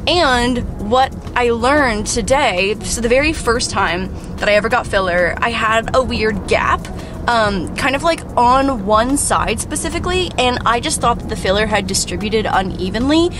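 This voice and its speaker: A woman's voice: on the high side, lively intonation, measured pace, noisy background. Giggly woman vlogger with numb lips. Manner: chatty, giggly, self-mocking. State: lips numb and swollen from fresh filler. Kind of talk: casual vlog chat. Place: car interior, then home kitchen. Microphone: handheld camera at arm's length.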